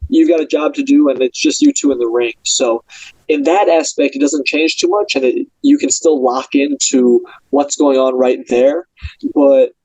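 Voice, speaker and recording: A young man, a pro boxer, talking casually and upbeat in a podcast interview, in quick animated bursts. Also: fairly high pitch, gravelly, dry recording, poor audio.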